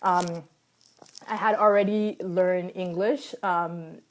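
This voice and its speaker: A woman's voice: on the low side, steady tone, takes it slowly. A woman in her thirties whose first language is French, giving a casual motivational talk full of fillers, restarts and laughs. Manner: chatty, many fillers and restarts, laughs often. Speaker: speaker sharing personal advice.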